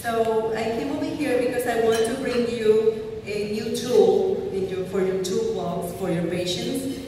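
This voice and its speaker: A woman's voice: a little high, fairly even intonation, dark tone, a little hiss or hum. Puerto Rican woman physician lecturing in a hall. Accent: Puerto Rican Spanish. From Puerto Rico. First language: Spanish. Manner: quick, informal, with non-native phrasing. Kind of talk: conference lecture. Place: large conference hall. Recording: echoey hall from far off.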